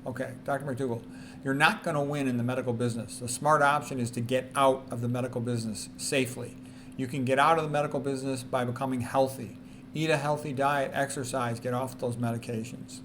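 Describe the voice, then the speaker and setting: A man's voice: mid-range voice, steady tone, a little fast. A man presenting informally, reading quotations aloud and adding emphatic commentary, often repeating key statements. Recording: noticeable background noise.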